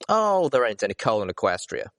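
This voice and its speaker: A man's voice: mid-range voice, sing-song, a little fast, a bit bright, dry recording, studio quality. A man voicing a plain-spoken, folksy male pony who works on the trains, in an audiobook reading. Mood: exasperated.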